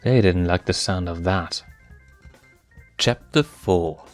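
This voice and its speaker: A man's voice: sits low, slow pace. A man reading fan fiction aloud as scripted story narration. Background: faint music.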